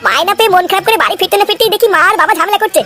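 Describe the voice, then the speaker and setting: A man voicing a put-upon, quarrelsome young worker in a comic cartoon, with a pitched-up voice and whiny, complaining delivery. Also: lively intonation, racing, pushed and bright, faint music behind, heavily acted. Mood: aggrieved. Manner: whiny, foul-mouthed complaining.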